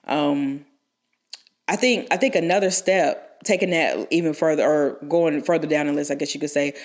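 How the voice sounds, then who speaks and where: A woman's voice: sits low, normal speed. A young woman co-hosting a podcast, stuffed up from a cold, rambling through advice with many restarts and fillers. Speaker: podcast co-host. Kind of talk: casual advice chat.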